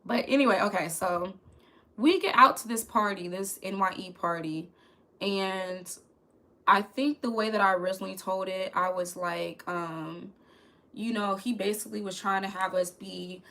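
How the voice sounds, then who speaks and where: Young woman, crackly voice, candid chatty storyteller, mid pitch. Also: normal speed, sharp tone. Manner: chatty, candid, talks to viewers as "y'all". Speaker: YouTuber telling a story. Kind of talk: casual storytime vlog.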